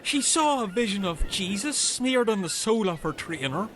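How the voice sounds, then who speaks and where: A man's voice: sits high, very animated, normal speed, a bit bright, slight background noise. A man playing a dim, chatty, earnest Irish castaway in a comedy radio play, rambling and taking things literally.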